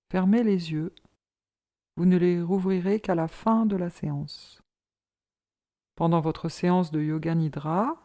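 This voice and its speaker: Woman, yoga nidra instructor, very slow pace, soft mellow tone, calm guided meditation. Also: fairly low pitch. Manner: calm, measured, soothing instruction.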